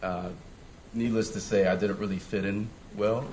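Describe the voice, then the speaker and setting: A man's voice: lowish voice, steady tone, normal speed, a loud crowd. A young man born in South Korea, telling his personal testimony at church in a hesitant way with many fillers and restarts. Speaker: church member giving testimony. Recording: roomy sound with faint ambience.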